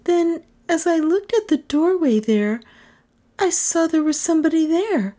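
A woman reader voicing a frightened young woman who has just come out of a faint, speaking in a tremulous, breathy way. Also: very high-pitched, animated, unhurried, dark tone, voice pushed up. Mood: shaken.

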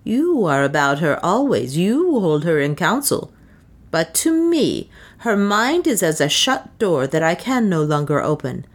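A woman's voice: medium pitch, huge pitch swings, drawn-out words, a little mellow, voice pushed up, exaggerated voice. A woman acting a bitter, accusing serving woman. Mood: furious, resentful. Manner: satirical, glaring, accusing. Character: bitter, sardonic, resentful native serving woman. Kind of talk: audiobook character acting.

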